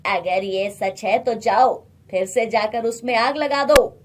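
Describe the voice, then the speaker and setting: A woman's voice: on the high side, takes it slowly, noisy background. A woman voicing a greedy, domineering rich male merchant in a moral storybook tale, speaking with threats and scorn. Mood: angry, ordering.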